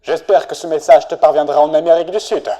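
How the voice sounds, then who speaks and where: A man's voice: on the high side, steady tone, fast, mellow, natural voice. A man playing a troubled studio boss who hesitantly confides in a friend, in a dramatic cartoon fandub. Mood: awkward, troubled. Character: reluctant, troubled studio boss humbly asking a friend for help.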